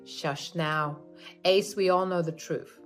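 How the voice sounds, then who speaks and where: A woman's voice: lowish voice, steady tone, slow, faint music behind. A woman voicing a spiteful, greedy older mother-in-law in a raised, scornful voice.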